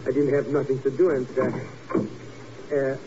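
An actor playing a dim-witted, greedy small-time crook sidekick in a 1950s radio drama, bumbling through slangy, stammering bluster. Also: male voice, medium pitch, flat delivery, normal speed, lightly acted. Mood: curious, bumbling. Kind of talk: 1950s radio drama comic-relief acting. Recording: noisy, muffled 1950s radio recording.

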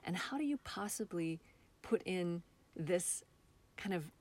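An American woman speaking as a guest artist in a reflective interview, thoughtful and halting as she searches for words. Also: lowish voice, animated, darkish tone. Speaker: guest, local artist and theatre maker.

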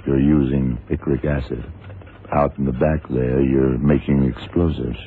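A man acting a calm, shrewd FBI agent in a radio drama, speaking in a measured, probing, matter-of-fact way. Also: a bass voice. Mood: coolly probing. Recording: tinny, narrow-band, noisy old radio recording.

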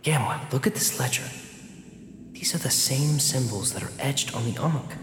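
A man acting in a radio drama as an uneasy, curious scholar exploring, speaking in a hushed, breathy, apprehensive way. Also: medium pitch, normal speed, natural voice. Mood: excited discovery. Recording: echoey reverberant hall, music behind.

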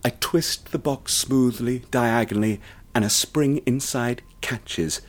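A man narrating a first-person horror radio play, hushed and intense. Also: mid-range voice, a little slow. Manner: hushed and intense, rising to ecstatic. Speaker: narrator and protagonist.

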